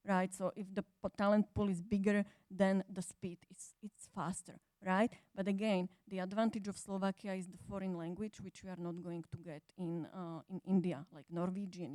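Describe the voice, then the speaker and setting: A woman's voice: lowish voice, warm tone, no echo. A woman answering questions on a business conference panel, chatty, with frequent "like" and "right?". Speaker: panelist, service center manager. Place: conference hall.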